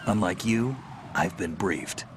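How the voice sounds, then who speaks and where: An actor playing a confident, gruff veteran soldier in a video game drama, speaking in a terse, blunt way. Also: male voice, sits low, slow pace, noisy background. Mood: cool, businesslike. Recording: dramatic music behind the voice.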